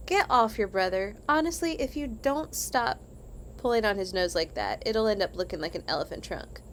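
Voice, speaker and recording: A woman's voice: mid-range voice, lively intonation, normal speed, slight background noise, lightly acted. A woman voicing an exasperated mother in a storybook, scolding her boys firmly. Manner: firm, scolding.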